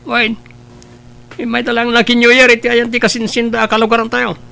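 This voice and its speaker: A man's voice: very high voice, monotone, brisk, vocal fry, bright, forceful tone, clean tone, full character voice. A man playing a chummy, persuasive young matchmaking pal in an audio drama. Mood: chummy, advising. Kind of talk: Ilocano radio-style audio drama acting.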